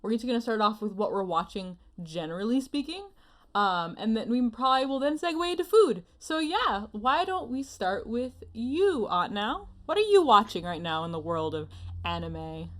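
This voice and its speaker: A woman's voice: on the high side, big pitch swings, normal speed, fairly bright tone. A woman hosting a casual podcast in a playful, giggly, jokey manner. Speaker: podcast host.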